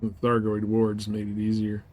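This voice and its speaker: A man's voice: lowish voice, completely flat delivery, normal speed, darkish tone, a little hiss or hum. A man chatting casually as he streams a game, laid-back, slangy and prone to swearing. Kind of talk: casual chat with viewers while playing.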